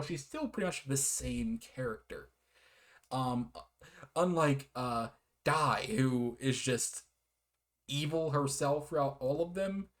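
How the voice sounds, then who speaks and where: A man giving a casual, rambling game review in a hesitant, apologetic manner with frequent giggles. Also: a little high.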